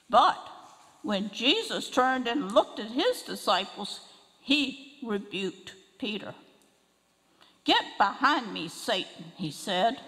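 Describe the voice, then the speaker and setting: An older woman reading scripture aloud at a church lectern, slow and careful. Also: mid-range voice, fairly bright tone. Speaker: scripture reader. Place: large church sanctuary, at a lectern. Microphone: lectern microphone. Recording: long reverb of a large church hall.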